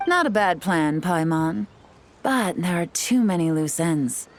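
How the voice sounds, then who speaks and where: Game role: a cool, unhurried woman agent with a lower voice. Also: a little hiss or hum. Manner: calm, measured, dryly explanatory. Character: cool, composed female intelligence agent. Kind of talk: video game voice acting. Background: soft game music.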